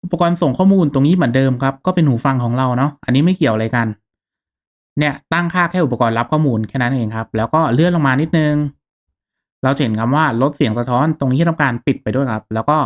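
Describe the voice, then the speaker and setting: A man hosting a software tutorial in an informal, polite, step-by-step way, checking in with listeners. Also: mid-range voice, quick pace. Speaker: YouTube tutorial host, gaming channel streamer.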